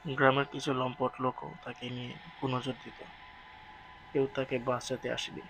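A man's voice: medium pitch, monotone, moderate pace, background noise. A man narrating a scripted horror story in a dramatic, suspenseful way as the storyteller.

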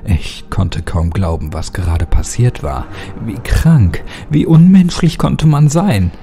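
A man's voice: lowish voice, lively intonation, takes it slowly, vocal fry, warm tone. A man giving a scripted, dramatic first-person narration of a horror story. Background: music.

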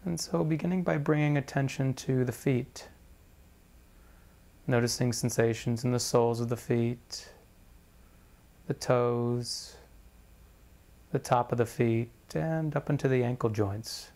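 A man with a mid-pitched voice guides a meditation practice. He speaks like an instructor, in measured, deliberate phrases. He leaves space between one phrase and the next. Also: steady tone, a little mellow. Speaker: meditation teacher.

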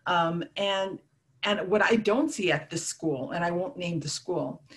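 A middle-aged woman, a community organizer, speaking earnestly in a panel discussion over a video call on an earbud headset. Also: lowish voice. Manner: earnest, thoughtful, with repeated restarts. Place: room at home, orange wall.

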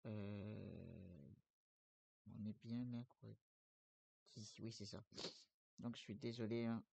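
A man's voice: on the low side, flat delivery, slow pace, gravelly, warm tone, breathy edge, no echo. A man with the flu talking his viewers through buying a truck in a game, rambling with many fillers and restarts. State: has the flu. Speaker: streamer playing a trucking game. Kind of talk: casual gameplay commentary.

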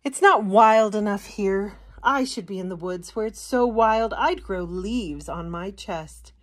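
A woman's voice: mid-range voice, measured pace, natural voice. A woman voicing an eager, dramatic little female houseplant in a picture book, with emphatic exclamations. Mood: complaining.

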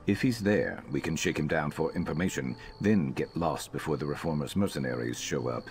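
Super low brooding beast mercenary from a fantasy game, guarded n terse, kinda robotic deadpan, music in the back. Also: male voice, fairly quick. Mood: low-key, earnest. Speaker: game companion character. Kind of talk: scripted fantasy video game dialogue.

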